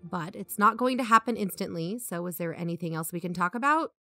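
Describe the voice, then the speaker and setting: A woman's voice: on the low side, a little fast, bright, forceful tone, faint music behind. A woman acting as a practical, blunt podcast co-host in a conversational audio drama.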